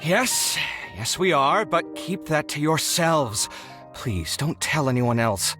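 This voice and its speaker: A man's voice: on the high side, big pitch swings, takes it slowly, music in the background. An actor playing a flustered, dramatic, sensitive young man in a video game, exclaiming theatrically. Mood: flustered, indignant.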